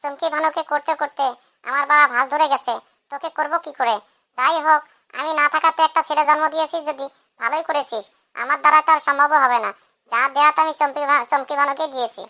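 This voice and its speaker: A performer voicing a grumbling, pestering drunkard husband in a comic cartoon, whining through a raised-pitch effect. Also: fairly even intonation, fast, pushed and bright. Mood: grumbling, insistent.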